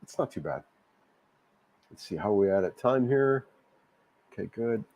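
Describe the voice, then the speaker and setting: A man hosting a casual livestream, a little hoarse from a cold, rambling and repeating himself as he answers viewer comments. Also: on the low side, animated, unhurried, darkish tone, no echo. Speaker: livestream host. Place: home in Cebu, Philippines.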